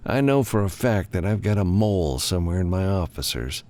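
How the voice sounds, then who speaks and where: A man's voice: sits low, animated, fairly quick, mellow, voice pushed up. A man voicing a grim, commanding government lawman in an audiobook, giving a frank, businesslike briefing. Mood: frank, uneasy.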